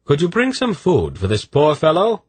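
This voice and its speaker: A man's voice: fairly high pitch, huge pitch swings, moderate pace, a little mellow, husky, dry recording, voice pushed up, full character voice. A man voicing a wry, lilting traveller. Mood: courteous.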